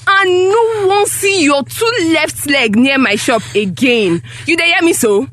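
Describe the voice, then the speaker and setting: A woman's voice: high voice, animated, a little slow, fairly bright tone. A woman with a Nigerian accent playing a harsh, accusing mother who runs a small shop, in a Pidgin drama. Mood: threatening, dismissive. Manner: scolding, exclaiming, emphatic rhetorical questions.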